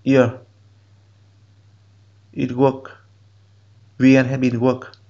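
A man whose first language is Vietnamese, giving halting step-by-step instructions in a screen-recorded software tutorial. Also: mid-range voice, completely flat delivery, dull audio. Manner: slow, halting step-by-step instructions in non-native English. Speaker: programming instructor.

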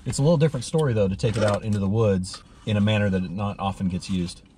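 A man in his forties chatting casually as a friend on a road trip, laid-back and quick to laugh, picked up by the host's camera inside a truck. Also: on the low side, warm tone, a little hiss or hum. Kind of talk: casual banter. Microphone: host's camera, off to the side.

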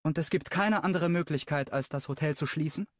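A man's voice: brisk, dry recording, studio quality, natural voice. A man voicing a fiery young woman. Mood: questioning. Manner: pitch raised high into a woman's register. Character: fiery young woman, sharp-tongued and stubborn.

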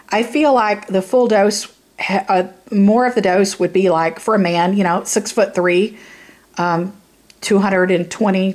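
Hoarse, chatty woman vlogger. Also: medium pitch. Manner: rambling, chatty, many fillers and "you know what I mean". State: hoarse and raspy from sinus trouble. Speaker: YouTube beauty vlogger. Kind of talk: casual product review to camera. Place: indoors, filming a product close up.

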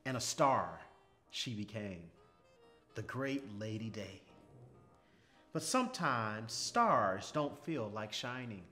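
A man narrates a children's picture book at an unhurried pace. His phrasing is measured and expressive, with dramatic pauses, and his voice sits at a medium pitch. Faint music plays behind him. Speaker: storybook reader. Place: small furnished indoor room, seated.

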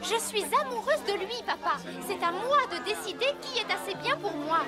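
A very high-voiced, defiant young heroine. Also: female voice, lively intonation, moderate pace. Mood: indignant. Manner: emotional, determined. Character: defiant young woman in love, strong-willed daughter. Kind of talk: French cartoon dub acting. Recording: music and crowd sounds behind.

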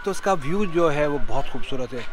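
Male Pakistani travel vlogger, slightly high-pitched, conversational, music clearly underneath. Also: lively intonation, a little fast. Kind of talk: casual vlog narration and chatting with people. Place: outdoors on a boat and at a beach resort.

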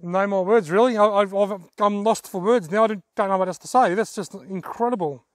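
A man's voice: high-pitched, a little fast, no echo, studio quality. A man in his forties with an Australian accent, talking casually and effusively to camera as a vlogger. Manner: effusive, enthusiastic. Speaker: fishing vlogger, host. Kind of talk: casual vlog talk to camera. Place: outdoors on a dirt track in bushland.

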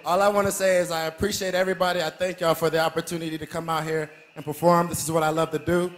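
A young man, a guest comedian on a studio stage, answering questions in a relaxed, humble way into a handheld mic. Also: fairly high pitch, quick pace. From Kenya. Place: large indoor studio stage with audience.

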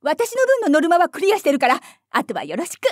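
A woman's voice: very high voice, wildly swooping pitch, quick pace, no echo, clean studio sound, heavily acted. An actress playing a carefree senior saleswoman who shirks work with a breezy, theatrical manner.

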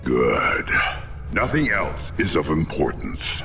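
Imperious alien tyrant, game cutscene, slow commanding lines, lively pitch. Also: male voice, in a large hall, heavily acted. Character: menacing male alien tyrant, cold and imperious. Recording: game soundtrack with loud music.

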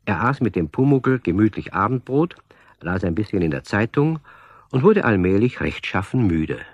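A man playing the storyteller of a children's radio play, giving measured scripted narration. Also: fairly low pitch, very animated, slightly rough, no room sound, highs cut off. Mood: calm.

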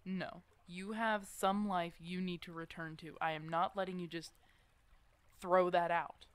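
A woman's voice: lowish voice, fairly even intonation, a little slow, no room sound, lightly acted. A woman playing a protective, teasing werewolf mentor in an ASMR roleplay, casual and bossy but affectionate. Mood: stern, protective. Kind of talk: ASMR roleplay audio drama addressed to the listener.

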